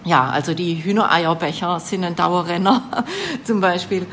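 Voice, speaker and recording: Swabian woman shop owner, slightly low voice. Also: normal speed, fairly bright tone, a little hiss or hum. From Baden-Württemberg. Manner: hesitant, many fillers. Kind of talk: interview answers.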